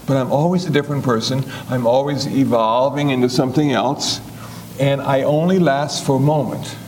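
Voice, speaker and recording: A man in his seventies giving a relaxed, joking talk on Buddhist teachings in a folksy, conversational way. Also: medium pitch, normal speed, a little hiss or hum. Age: 70s. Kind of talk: humorous dharma talk.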